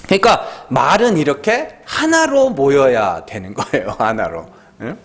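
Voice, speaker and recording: A man's voice: medium pitch, big pitch swings, unhurried, a bit bright. A man with a Gyeongsang Korean accent, a pastor giving a sermon at a Friday prayer meeting, talking conversationally, tossing rhetorical questions at the congregation and pressing his points with emphasis. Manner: emphatic, swooping delivery.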